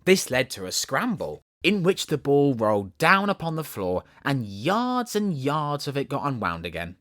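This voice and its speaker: A man with a Southern accent reading a storybook as an audiobook narrator, in an expressive, theatrical way. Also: medium pitch, normal speed, no room sound, studio quality.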